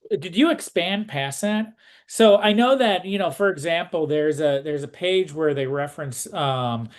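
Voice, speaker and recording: A man's voice: a little high, unhurried. A man acting as interviewer, asking casual, detail-minded questions and giving brief acknowledgements.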